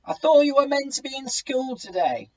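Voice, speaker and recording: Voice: high-pitched, animated, moderate pace, recorded from a distance, lo-fi sound, voice pushed up. A reader voicing a weary, sarcastic mother, talking in a dry, clipped way. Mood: surprised.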